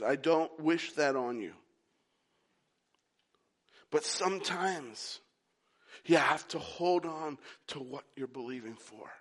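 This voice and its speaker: A man delivers a sermon in a high voice, taking his time with each phrase. He drives his points home through repetition and questions put straight to the congregation. His exhortations swing between loud insistence and quieter moments. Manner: emphatic, repetitive rhetorical questions. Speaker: preacher.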